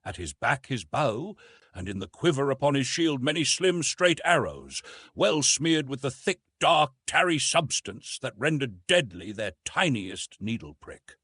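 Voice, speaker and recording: A man narrating an adventure novel as an audiobook, with expressive, dramatic storytelling. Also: medium pitch, unhurried, breathy edge, dry recording.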